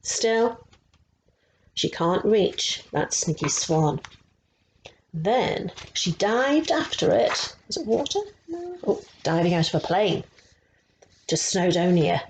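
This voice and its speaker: Slow-paced woman reading a children's storybook. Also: on the low side, very animated, slight background noise, poor audio. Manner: explanatory, prompting young listeners with questions. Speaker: resident story teller. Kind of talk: reading a children's picture book aloud with explanatory asides.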